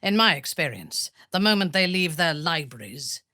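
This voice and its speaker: An actress playing an imperious, ruthless dark elf warrior priestess in a video game, with a haughty, commanding manner and formal, measured phrasing. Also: female voice, low voice, sing-song, no echo, studio quality, full character voice. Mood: cold, measured. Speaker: video game companion character. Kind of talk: dramatic video game voice acting.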